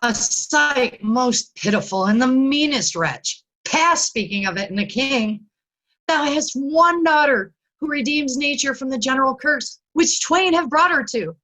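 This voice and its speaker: A woman playing a courteous gentleman messenger in readers' theatre Shakespeare, with formal, declarative delivery. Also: high voice, animated, measured pace, heavily acted, poor audio. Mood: respectful.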